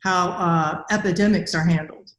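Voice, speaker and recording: A woman's voice: on the low side, fairly even intonation, moderate pace. A middle-aged woman hosting a podcast interview in a conversational style, recorded over a video call in an echoey room. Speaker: podcast host, robotics academic. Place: indoor room with bare walls.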